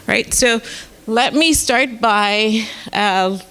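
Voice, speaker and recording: A woman with a Saint Lucian accent, a professor leading an informal workshop in a relaxed, chatty way. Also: a little high, very slow, clean tone. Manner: relaxed, chatty, inviting questions.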